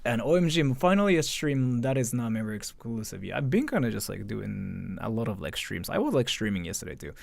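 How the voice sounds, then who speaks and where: A man's voice: medium pitch, sing-song, fairly quick, creaky, hardly any pauses, a little mellow, no room sound. A man telling a story casually on a livestream, swearing and acting out both sides of conversations. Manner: frequent "like" and repeated phrases.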